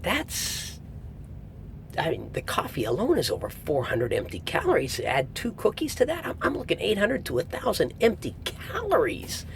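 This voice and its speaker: A middle-aged man giving a casual spoken review in a conversational, emphatic way, pausing for effect. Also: huge pitch swings, gravelly. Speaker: audiobook reviewer. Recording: faint low rumble, like vehicle noise.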